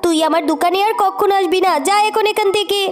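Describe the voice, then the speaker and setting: Woman as a haughty, high-pitched cartoon shopkeeper. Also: fairly even intonation, quick pace, over music. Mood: indignant. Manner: haughty, dismissive. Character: greedy, brazen woman shopkeeper, snappish and dismissive. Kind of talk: children's cartoon story dialogue.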